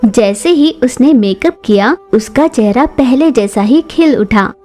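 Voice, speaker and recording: High, mellow woman telling a children's story. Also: lively intonation, unhurried, faint music behind. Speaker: narrator. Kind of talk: scripted children's story narration.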